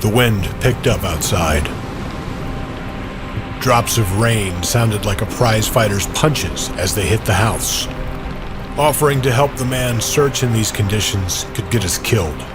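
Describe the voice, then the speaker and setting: Low-pitched male storyteller over music. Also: animated, a little slow. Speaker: narrator and performer. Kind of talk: scripted first-person story narration. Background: original music score.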